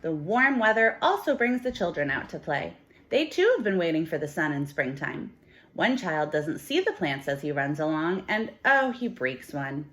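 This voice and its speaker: Female teacher, lowish pitch, expressive children's read-aloud style, emphatic on key words. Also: normal speed. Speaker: teacher reading a picture book aloud.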